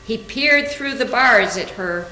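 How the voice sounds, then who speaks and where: A woman's voice: on the high side, lively intonation, slow pace, sharp tone. Woman narrator in an echoey, humming room. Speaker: readers theater narrator. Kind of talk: scripted literary narration read aloud. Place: indoor room, live library reading. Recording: echoey room with faint hum.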